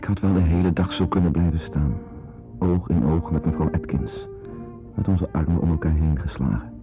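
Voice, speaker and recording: An actor playing a melancholy, protective pet owner in a radio drama, brooding and a little hesitant. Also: male voice, extremely low pitch, moderate pace, over music. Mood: gloomy. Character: melancholy, protective male pet owner, narrating his thoughts. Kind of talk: radio drama acting, introspective narration and dialogue. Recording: dull lo-fi radio play recording.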